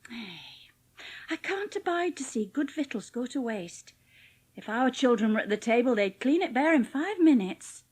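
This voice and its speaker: A woman's voice: on the high side, big pitch swings, moderate pace, darkish tone, breathy edge. A woman playing a cheerful, plain-spoken young housemaid with a Yorkshire accent in a dramatised audiobook. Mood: scolding, earnest. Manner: chatty, blunt, homely dialect speech.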